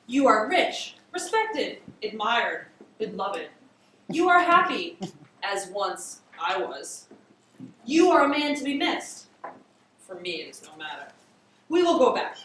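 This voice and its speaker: A woman narrating classic fiction in an amateur dramatic reading, theatrical, with drawn-out emphasis. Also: high voice, sharp tone. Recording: very echoey room, distant mic, faint hiss.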